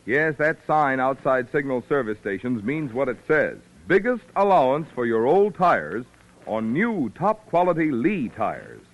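A man's voice: mid-range voice, poor audio. A man reading a sponsor message as an old-time radio announcer, emphatic and polished. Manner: emphatic, polished sales delivery. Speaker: commercial announcer. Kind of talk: 1940s-50s radio sponsor read.

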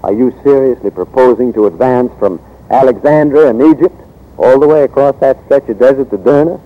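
A man acts a dignified, exasperated secretary of state. He speaks formally and incredulously at medium pitch, on a dull old radio drama recording. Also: fairly quick, close to an everyday voice.

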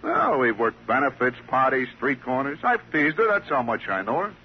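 An actor playing a brash, desperately jokey small-time comedian in an old radio drama, with pushy, showy, sardonic patter. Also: male voice, mid-range voice, huge pitch swings, moderate pace. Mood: defensive, glib. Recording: muffled, noisy vintage radio recording.

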